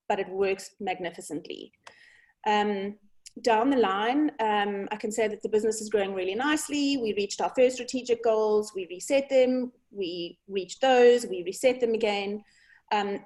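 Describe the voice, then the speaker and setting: A woman, a founder giving a measured conference talk over a video call, trailing off quietly at the ends of phrases. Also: medium pitch.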